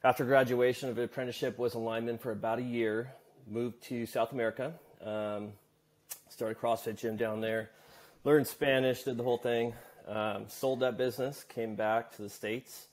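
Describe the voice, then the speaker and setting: A young adult man from California, a podcast guest talking about his work in a relaxed, easygoing way. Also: medium pitch, normal speed. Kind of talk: casual interview.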